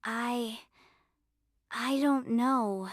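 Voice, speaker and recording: A woman voicing an anxious, remorseful little girl in a children's storybook, hesitant and quiet. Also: fairly high pitch, drawn-out words, no room sound, studio quality. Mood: scared, evasive.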